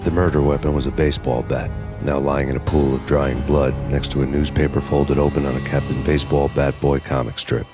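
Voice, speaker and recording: A hard-boiled cop on the run, with an extremely low voice. He narrates a noir game, weary, grim and deadpan, over prominent background music. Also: a little fast, few pauses. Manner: deadpan, terse.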